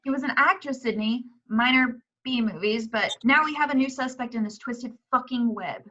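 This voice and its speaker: A woman's voice: on the high side, normal speed, pushed and bright. A woman playing an assertive investigative journalist in a horror script read at home. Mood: urgent, intrigued. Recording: dull home video-call audio.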